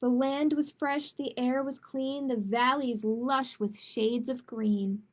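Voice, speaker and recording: A woman speaking as a children's storytime host, in a measured manner suited to picture books and simple chat with families. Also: sits high, fairly even intonation. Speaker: children's librarian hosting storytime. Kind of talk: picture book read-aloud, then casual announcements.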